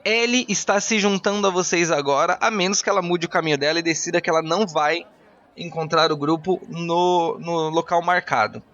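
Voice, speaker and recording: A man's voice: fairly high pitch, a little fast, a bit bright. A man narrating a tabletop roleplaying game as game master. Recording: dull lo-fi, music behind.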